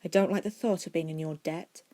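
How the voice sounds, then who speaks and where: A woman's voice: lowish voice, fairly even intonation, fairly quick, warm tone, lightly acted. A woman voicing a wary, feisty young schoolboy in a storybook reading, guarded and blunt. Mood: suspicious.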